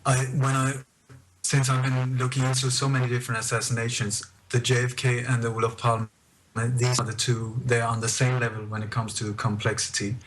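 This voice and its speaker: A middle-aged man with a Scandinavian accent, recounting his research as a radio interview guest in a measured, halting way with repeated phrases. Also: medium pitch, completely flat delivery, low-quality recording. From born in Denmark, emigrated to Sweden at eight.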